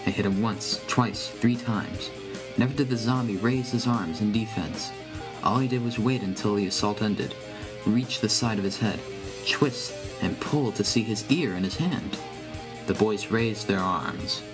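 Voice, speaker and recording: Guy narrating a horror story in first person, lowish voice, music going behind him. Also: a little slow, poor audio.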